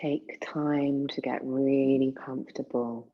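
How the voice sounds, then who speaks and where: A woman guiding a meditation in a soothing, unhurried manner, leaving long pauses between phrases. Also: rumbling low, little pitch movement, highs cut off. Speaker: yoga nidra meditation guide. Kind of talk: guided meditation.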